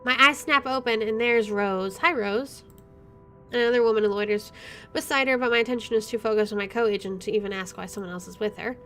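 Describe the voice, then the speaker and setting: A woman's voice: fairly high pitch, fairly even intonation, fairly quick, bright, forceful tone, soft background music. A woman hosting a gaming playthrough, reading the story text aloud dramatically and adding playful, joking asides. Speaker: gaming video host.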